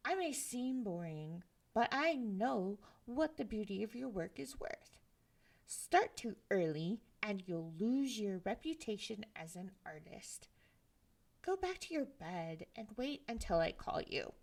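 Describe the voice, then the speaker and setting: A woman's voice: mid-range voice, very animated, measured pace, gravelly, full character voice. A woman voicing Mother North Wind, a stern and firm mother scolding her son, in a children's story reading.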